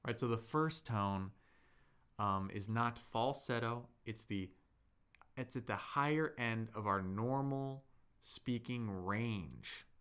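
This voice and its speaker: A man's voice: mid-range voice, slow, a bit bright, clear, resonant tone. A man teaching a live-streamed Chinese lesson, patiently explaining tones, modelling words aloud and answering viewers' chat.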